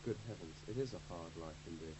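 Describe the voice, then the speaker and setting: A man's voice: deep voice, fast, low-quality recording. A male radio host thanking a guest and introducing the next segment, genial and prone to stumbling restarts. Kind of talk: radio presenting. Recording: radio broadcast with steady hum.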